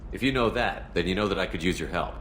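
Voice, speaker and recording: A man playing a calm, direct human military commander in a video game, asking questions plainly. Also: deep voice, fast, slight background noise. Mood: direct, steady. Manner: direct, inquisitive. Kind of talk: video game dialogue acting.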